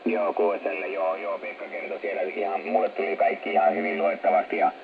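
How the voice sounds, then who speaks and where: Male radio amateur, fairly low voice, casual halting chat, narrow shortwave sound buried in heavy noise. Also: monotone, gravelly. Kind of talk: casual ham radio contact. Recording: tinny, very noisy shortwave radio transmission. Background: loud radio static.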